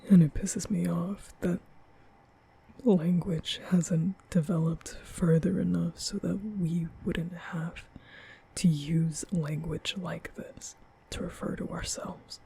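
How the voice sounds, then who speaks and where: A man playing a nervous, apologetic young vampire in an intimate ASMR roleplay, speaking breathily and often half-whispering, halting and stammering. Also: fairly high pitch, little pitch movement, gravelly, dark tone, breathy edge, full character voice. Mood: weary, frustrated. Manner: halting, stammering, rambling, sighing. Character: nervous, apologetic young male vampire, guilt-ridden and soft.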